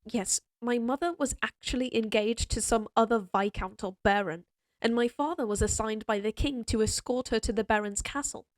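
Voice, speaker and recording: Woman as young lady knight, proud, prickly, spirited, formal fantasy speech, higher voice, dry recording. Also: normal speed, no echo, lightly acted. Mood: teasing, defiant. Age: young adult. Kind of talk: scripted fantasy audio roleplay acting.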